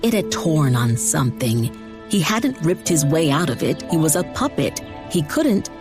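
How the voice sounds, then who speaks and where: A woman reading dramatized fiction narration in a measured way with deliberate emphasis. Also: deep voice, very animated, over music. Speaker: audiobook narrator.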